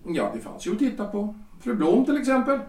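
Echoey, off-mic period drama: male actor as pompous, stammering lawyer husband with very lively intonation. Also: high-pitched, recorded from a distance. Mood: teasing, rambling. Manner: hesitant, rambling, stammering, self-satisfied. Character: Gustav, chatty, self-important 43-year-old lawyer-politician husband. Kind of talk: period stage-play audio drama.

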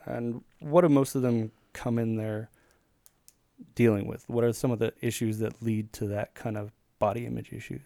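A man with a raspy, mid-pitched voice hosts a casual conversation, easygoing and joking as he leads into questions. The recording is dry and close, with no room around him. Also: steady tone, moderate pace, warm tone, no echo. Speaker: podcast host. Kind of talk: casual chat, asking guests questions.

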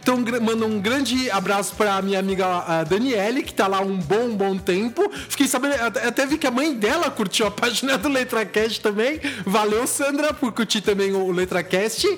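A man's voice: high-pitched, moderate pace, few pauses, a bit bright. A man hosting a casual music podcast, playful and teasing with his co-host.

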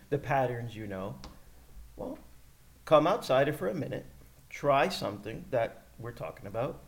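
Laid back podcast guy, jokey, mid-pitched voice. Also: slight background noise. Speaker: podcast co-host. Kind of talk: casual chat.

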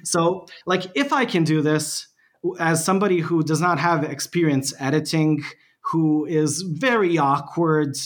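A man's voice: fairly high pitch, measured pace. A man from Bosnia and Herzegovina, a podcast guest chatting animatedly and mocking himself with frequent laughs. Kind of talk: casual interview chat.

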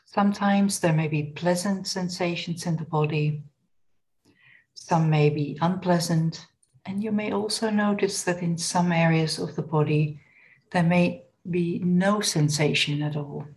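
A woman leading a guided body scan meditation in a gentle, unhurried, instructional manner. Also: lowish voice, fairly even intonation, poor audio.

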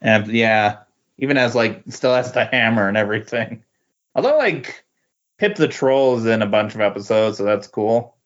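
Man, lowish voice, hesitant, halting phrases, casual podcast guest, muffled recording. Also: lively intonation. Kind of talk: casual chat. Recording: dull, lo-fi sound.

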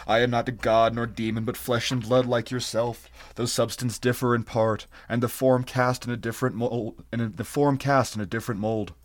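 A man's voice: mid-range voice, natural voice. A man voicing an ancient blind, tortured alien creature from a fantasy story, sorrowful and solemn, in grave archaic speech.

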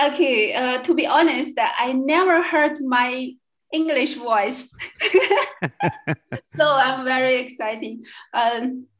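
A young woman from China with a Chinese accent, a guest in a casual interview, speaking haltingly with many fillers and restarts. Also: sits high, fairly bright tone. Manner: hesitant, many fillers and restarts, laughs often. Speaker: guest, language student.

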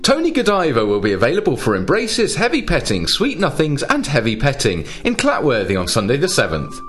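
A man's voice: mid-range voice, wildly swooping pitch, brisk, a bit bright, faint music behind. A man hosting a casual comedy radio show, presenting in a playful, mock-grand way. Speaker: radio host.

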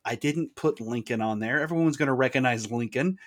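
A man's voice: medium pitch, a little fast. Eager man listing facts. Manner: eager, listing, swears casually. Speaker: trivia host. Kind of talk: casual chat.

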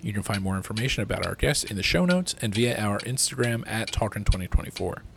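Noisy clicky recording, male podcast producer, fairly low voice, plain informal announcing. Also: lively intonation, fast, creaky. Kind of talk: casual aside and credits announcement.

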